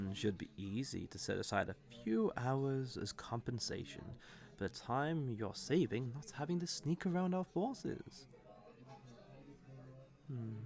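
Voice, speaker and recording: A man acts out a male villain enjoying his day off in a roleplay audio drama. His voice is lowish with a heavy crackle of fry. He speaks slowly and smugly, teasing and flirting with his enemy. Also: darkish tone, full character voice. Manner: teasing, smug, unhurried. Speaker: voice actor. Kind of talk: scripted roleplay audio drama, addressed to the listener.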